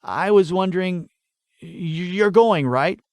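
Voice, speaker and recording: A man's voice: high voice, slow, a little mellow, no echo, voice pushed up. A man voicing an earnest, awkward, lovestruck schoolboy with a hesitant, eager manner in a fantasy audiobook. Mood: nervous, eager.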